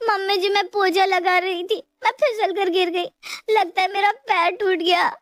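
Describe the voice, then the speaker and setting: Woman doing a wronged young daughter-in-law for a cartoon story, really high voice, plaintive n scheming, dry sound. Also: fairly even intonation, normal speed, a bit bright, no echo. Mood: wailing pretence. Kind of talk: Hindi animated moral story acting.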